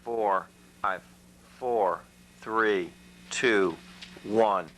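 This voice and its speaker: A woman's voice: rumbling low, very slow, a bit bright. A woman calling out stage cues and countdowns as an announcer, recorded in a noisy room.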